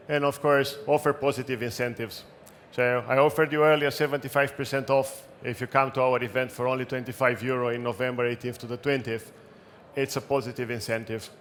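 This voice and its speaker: A man with a non-native English accent speaks at a conference event in a brisk, practical way, adding light jokes. His voice bounces around an echoey room. Faint background noise runs underneath. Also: mid-range voice, fairly bright tone. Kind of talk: talk with audience questions. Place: event hall.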